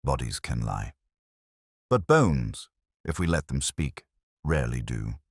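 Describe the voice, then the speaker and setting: A man voicing an incisive, commanding English detective in an audiobook, with measured, clipped delivery. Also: very deep voice, very animated, vocal fry, husky, dry recording, clean studio sound, full character voice. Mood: cool, pointed.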